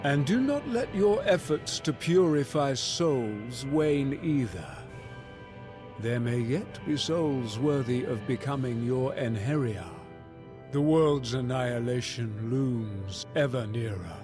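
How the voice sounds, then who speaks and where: Fantasy game ruler of the gods: unhurried, solemn, formal and commanding, over clear background music. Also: male voice, mid-range voice, expressive. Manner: stately, formal, deliberate. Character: solemn commanding god-king, All-Father of the gods. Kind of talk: fantasy video game voice acting.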